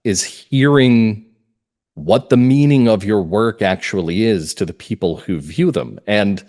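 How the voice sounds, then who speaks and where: A man speaking as a writer being interviewed, reflective and earnest, setting out his views in deliberate, emphatic phrases. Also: fairly low pitch, a little mellow. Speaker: playwright and audio drama writer, interview guest.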